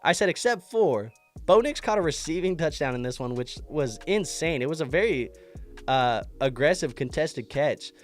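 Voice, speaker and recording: A man's voice: a little high, animated, moderate pace, faint music behind. A man hosting a fantasy football show, congested from an illness, talking through a casual sports recap with a loose, chatty delivery and frequent restarts.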